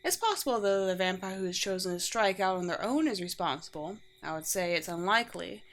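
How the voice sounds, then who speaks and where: A woman playing a courteous, teasing, world-weary vampire queen in a roleplay audio drama, with formal, old-fashioned and gently wry phrasing. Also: medium pitch, a little fast, lightly acted. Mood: composed, matter-of-fact. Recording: clear background noise with ambience.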